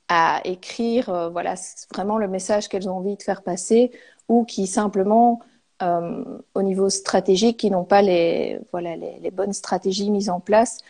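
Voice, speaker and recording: A woman's voice: medium pitch, dark tone. A woman in her thirties from Belgium, answering interview questions hesitantly with many fillers. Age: 30s. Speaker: guest, copywriter.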